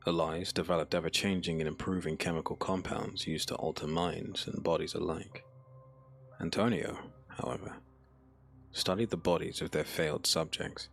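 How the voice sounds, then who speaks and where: A man narrating a dark fantasy audiobook in a solemn, measured, dramatic manner. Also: low-pitched, fairly even intonation, creaky.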